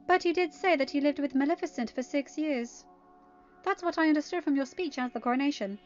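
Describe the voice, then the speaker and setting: A woman voicing a condescending, officious young woman of a royal court in a story reading, brisk and patronizing. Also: high voice, dull audio, voice pushed up, lightly acted.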